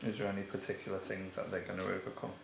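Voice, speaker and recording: A man asks informal questions at a presentation. His voice is lowish, very creaky and flat in pitch, recorded in an echoey room with noise. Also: fast.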